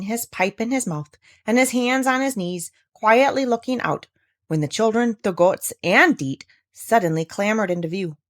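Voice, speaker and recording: A woman narrating a scripted children's story in an expressive, careful storytelling manner. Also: medium pitch, dry recording, studio quality. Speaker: audiobook reader.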